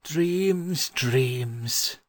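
A man voicing a gentle, wistful old scholar in an audiobook, musing fondly and haltingly. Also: medium pitch, no echo, clean studio sound, voice pushed up. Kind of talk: audiobook character voice.

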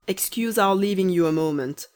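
Courteous office gentleman, acted by a woman, fussy and astonished, storybook delivery, medium pitch. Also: normal speed, natural voice. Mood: politely eager.

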